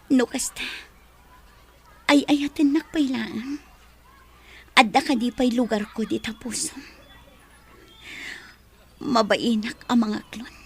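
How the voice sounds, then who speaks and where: A regretful young woman from a radio drama, with a high voice that crackles with creak and breath. She pleads tearfully in an Ilocano Filipino accent, sounding husky and heartbroken. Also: takes it slowly, long pauses, darkish tone, exaggerated voice. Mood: pleading, regretful.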